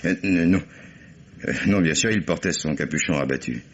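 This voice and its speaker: An actor playing a proper, guarded husband under police questioning in a radio mystery, formal and evasive. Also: male voice, extremely low pitch, moderate pace. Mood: defensive. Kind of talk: French radio mystery drama acting. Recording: dull, band-limited radio drama recording.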